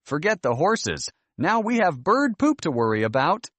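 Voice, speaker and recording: A man voicing a kindly, wise grandmother in a children's storybook, gently and expressively encouraging her grandchildren. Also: fairly high pitch, big pitch swings, moderate pace, no echo, voice pushed up. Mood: playful.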